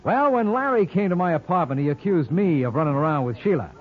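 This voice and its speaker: An actor playing a wisecracking, cocky lawyer-sleuth in 1950s radio drama style, glib, slangy and teasing. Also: male voice, on the high side, very animated, fast. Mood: smug, bantering. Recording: muffled vintage radio broadcast with music.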